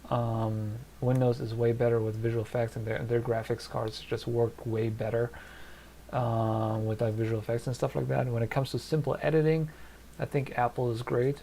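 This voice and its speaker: A man's voice: medium pitch, little pitch movement, moderate pace, gravelly, mellow, a little hiss or hum. A man in his thirties from Germany with a German and Polish accent, chatting casually on a live stream from his desk and thinking aloud with many fillers. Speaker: streamer answering chat. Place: small home studio at a desk.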